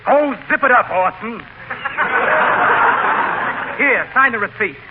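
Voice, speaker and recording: A man's voice: very high voice, animated, takes it slowly, over a noisy crowd, full character voice. An actor playing a cheeky, slangy, mocking delivery man in 1940s radio comedy. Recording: very noisy scratchy muffled old radio.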